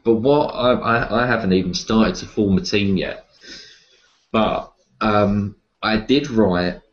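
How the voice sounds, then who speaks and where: A man being interviewed, talking casually and reflectively about his writing, with hesitant pauses. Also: on the low side, lo-fi sound. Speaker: interview guest, horror writer and publisher.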